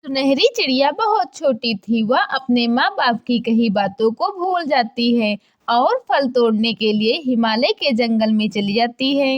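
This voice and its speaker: Slightly high-voiced woman narrator. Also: lively intonation, a little slow, lo-fi sound. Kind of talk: scripted children's story narration.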